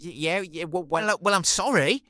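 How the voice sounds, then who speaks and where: A man's voice: sits high, a little fast, pushed and bright, no echo. An actor playing a nervous, apologetic British male escort in an audio play, stammering and colloquial in his speech. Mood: sheepish.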